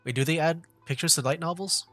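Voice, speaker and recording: A man casually commentating on a game livestream in a hesitant, halting way, often repeating himself. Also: a little high, a bit bright. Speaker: streamer playing a video game. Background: game music.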